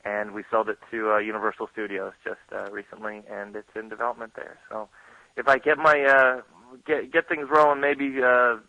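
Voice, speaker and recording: A man's voice: medium pitch, flat delivery, normal speed, no echo, phone-quality sound. A man chatting casually as an interview guest, easygoing and jokey. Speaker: guest actor and screenwriter.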